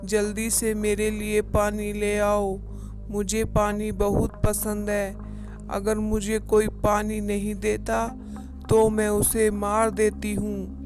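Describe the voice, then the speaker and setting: A woman's voice: mid-range voice, monotone, natural voice. A woman voicing a menacing, gloating witch in a horror cartoon, with a threatening manner. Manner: slow, threatening, cackling. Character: menacing female witch demanding water, gloating. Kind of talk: Hindi horror cartoon voice acting. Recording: loud music under the voice.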